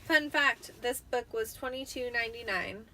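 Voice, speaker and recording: A woman's voice: on the high side, normal speed, pushed and bright. A tipsy woman in her thirties chatting casually as she hosts her own book reading. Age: 30s. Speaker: host reading a book aloud. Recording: clearly noisy room ambience.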